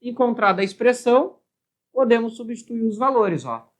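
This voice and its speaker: A woman's voice: fairly high pitch, normal speed. A woman with a Brazilian accent explaining a worked physics exercise step by step as a teacher, often adding short check questions. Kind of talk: step-by-step lesson at a board.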